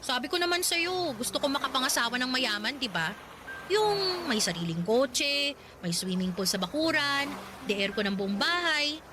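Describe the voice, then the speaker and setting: A woman's voice: high voice, very animated, moderate pace, background noise. An actress playing a brash, chatty young college girlfriend who complains and dreams of riches in a Tagalog radio drama. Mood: teasing, blunt.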